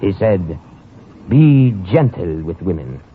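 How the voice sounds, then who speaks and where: A man acting a suave, sly poet spy in a 1950s radio drama, smooth and teasing with hesitant pauses. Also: low-pitched, very animated, exaggerated voice. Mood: gallant, teasing. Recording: scratchy, muffled 1950 radio recording.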